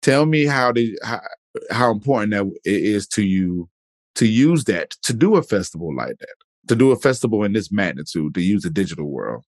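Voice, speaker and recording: Brief: male podcast host, very low voice, easygoing chatty delivery, dry close sound. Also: very animated, normal speed, no echo. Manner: laid-back, peppers speech with "man" and "you know". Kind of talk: casual interview chat.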